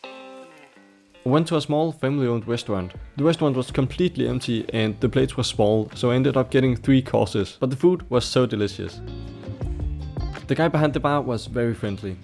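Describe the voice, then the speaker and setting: A man's voice: mid-range voice, moderate pace, creaky, darkish tone, soft background music. Danish man narrating his solo trip. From Denmark. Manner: candid, self-deprecating. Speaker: travel vlogger. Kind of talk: voice-over narration. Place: hostel and city streets.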